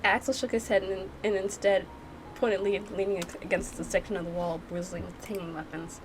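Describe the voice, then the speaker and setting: A woman's voice: medium pitch, little pitch movement, brisk, slight background noise, rough recording. A woman hosting a gaming channel, casually reacting and narrating with playful, chatty asides. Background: music.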